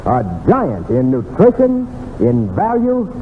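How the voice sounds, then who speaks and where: Lively vintage radio pitchman, tinny sound. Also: takes it slowly. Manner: earnest, emphatic salesman delivery. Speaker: commercial announcer. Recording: tinny, reverberant, noisy old radio.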